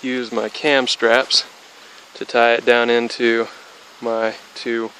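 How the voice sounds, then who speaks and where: A man's voice: medium pitch, slow pace, a little hiss or hum, low-quality recording. A young man in his twenties giving a casual, rambling how-to explanation outdoors, full of fillers and restarts, a little spacey from being sick. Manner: rambling, many fillers and restarts, flat delivery. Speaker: DIY hobbyist. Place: outdoors by a car, then under a metal-roofed shed.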